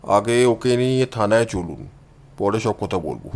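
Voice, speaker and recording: A man's voice: fairly low pitch, expressive, a little slow, voice pushed down. A man voicing a cool, assured amateur detective who gives orders in an audio storybook. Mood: quiet, commanding.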